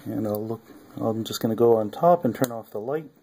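A man casually showing his electronics project at a home workbench, talking haltingly with many ums and uhs. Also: lowish voice, warm tone, slight background noise. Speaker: hobbyist maker.

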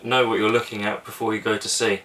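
A young man talks through engine checks in an easygoing, practical way, with a low voice and little rise and fall. He sounds a bit distant from the camera mic in a small cabin, with a faint hiss behind him. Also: fast. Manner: relaxed, practical, talks through steps with fillers. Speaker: sailing vlogger. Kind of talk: casual how-to explanation. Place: small boat cabin and engine bay, indoors.

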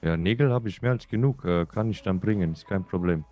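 A man with a low voice chats in character in an online game. His delivery is halting and a little muddled, and the sound is dry and close. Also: a little mellow, no room sound, faint music behind. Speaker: roleplay game player. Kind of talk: casual in-character chat.

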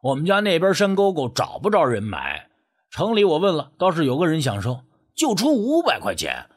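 A man's voice: fairly high pitch, wildly swooping pitch, moderate pace. A man playing a sly, sheepish rural man in an audiobook, stammering and wheedling as he angles for money. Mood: wheedling, insistent. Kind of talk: acted audiobook dialogue.

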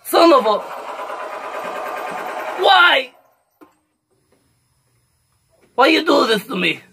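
A man's voice: extremely high pitch, very animated, slow pace, noisy background, heavily acted. A young man playing an eccentric, pleading prank caller in a comedy show, theatrical and prone to sudden swings. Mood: whiny outrage. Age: young adult. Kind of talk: prank phone call comedy. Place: small cluttered room.

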